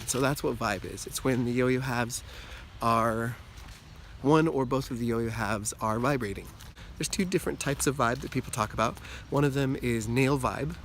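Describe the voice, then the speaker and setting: A man with a slightly hoarse, under-the-weather voice, talking casually and at a medium pitch. He explains things in a loose, rambling way, full of little asides. A faint hum of room ambience sits behind him. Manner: relaxed, rambling explanation with frequent "you know" and "like". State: hoarse from a cold. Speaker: yo-yo channel host. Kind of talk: casual explanatory talk to camera.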